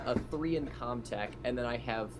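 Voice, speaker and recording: A man's voice: mid-range voice, normal speed. A man playing a tabletop roleplaying game, talking casually and tentatively, thinking aloud. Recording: clearly noisy hobby game recording.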